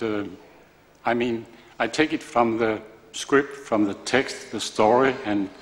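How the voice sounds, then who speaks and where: Older danish guy, halting speech, echoey hall with faint hiss. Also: mid-range voice. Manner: halting, hesitant. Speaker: film actor at a press conference. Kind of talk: press conference answers. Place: large press conference room. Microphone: table microphone.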